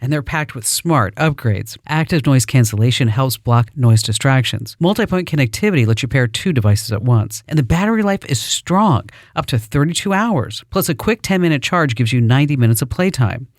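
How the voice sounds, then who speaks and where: A man's voice: mid-range voice, expressive, moderate pace, hardly any pauses, no room sound. Man reading an upbeat sponsor ad. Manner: upbeat, personal endorsement read. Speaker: ad reader. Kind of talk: read sponsor ad. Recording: dry close studio.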